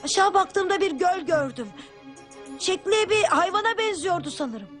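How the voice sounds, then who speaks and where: A woman voicing an excitable, earnest young boy adventurer in a Turkish-dubbed cartoon. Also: very high voice, moderate pace. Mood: eager, insistent. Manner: eager, earnest, exclaiming. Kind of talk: animated cartoon dubbing. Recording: loud music behind.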